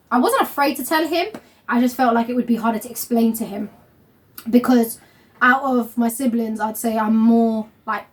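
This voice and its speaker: Chatty young woman, slightly high voice. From Somali family. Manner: chatty, rambling, lots of fillers and laughter. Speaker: vlog host. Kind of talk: casual chat.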